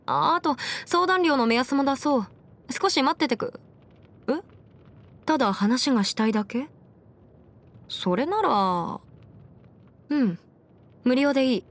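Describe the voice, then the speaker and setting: A woman voicing a confident, brisk young half-immortal lawyer in an anime game, with crisp, formal phrasing and music behind her. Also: high voice, expressive. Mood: friendly, businesslike. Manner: crisp, self-assured, formal mannish phrasing. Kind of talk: scripted anime game character voice lines. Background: background music.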